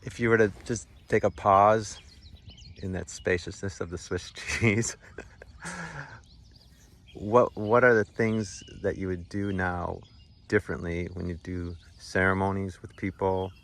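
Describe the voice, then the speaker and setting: A man interviewing someone outdoors, asking halting questions that he keeps rephrasing. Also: sits low, level tone, a bit bright, a little hiss or hum.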